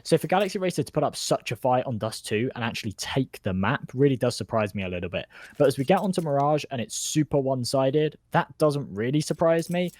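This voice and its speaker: A man's voice: mid-range voice, expressive, fast talker, hardly any pauses, darkish tone, dry recording. A man with a British accent giving casual, chatty live color commentary on an esports match. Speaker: esports analyst caster.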